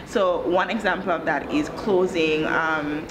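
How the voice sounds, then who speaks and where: A woman's voice: low-pitched, fairly even intonation, unhurried, over a noisy crowd. A woman in her thirties from Jamaica, speaking articulately and explaining things in a conversational interview. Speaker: interviewee, country director of an education social enterprise. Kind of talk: conversational interview, telling own career story. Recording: clearly noisy ambient background.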